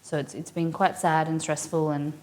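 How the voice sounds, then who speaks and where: A woman's voice: deep voice, flat delivery, moderate pace, a little mellow. A woman with an Australian accent, hosting a casual cooking live stream and chatting informally with viewers as she thinks aloud.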